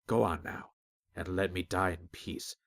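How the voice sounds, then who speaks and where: A man voicing a dying old male shopkeeper who speaks slowly in a rough, folksy, slurred way, in an audiobook. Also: low-pitched, steady tone. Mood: bitter. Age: older.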